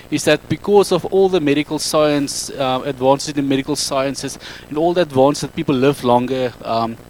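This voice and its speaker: A man's voice: a little high, darkish tone, poor audio. Man, Afrikaans accent, speaking into a handheld mic, hesitant non-native English, faint background noise. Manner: halting, self-correcting non-native English with frequent ums. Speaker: speaker at an evening talk. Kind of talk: public talk to a live audience.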